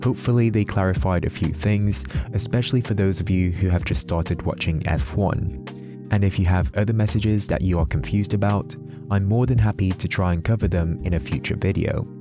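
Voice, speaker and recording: A man's voice: low voice, a little fast, music in the background. A man giving a scripted explainer narration as the host of a video.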